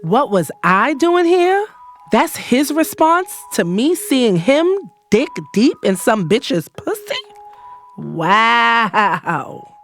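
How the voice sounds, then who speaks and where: A woman's voice: on the high side, very animated, drawn-out words, fairly bright tone, faint music behind, heavily acted. A woman playing a hurt, scorned lover in an audio drama, telling it in first person with emphatic, sarcastic delivery. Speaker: narrator and lead character in an audio drama.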